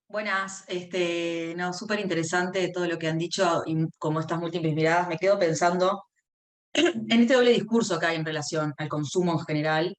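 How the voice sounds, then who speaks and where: A woman joining as a participant in an online discussion on a video call, speaking quickly and thoughtfully. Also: fairly low pitch.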